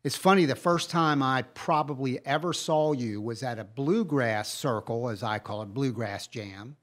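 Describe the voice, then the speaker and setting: A man's voice: medium pitch, normal speed. A middle-aged man chatting casually as a podcast co-host, introducing a guest in an enthusiastic, rambling storytelling way. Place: live podcast recording at a coffee parlor.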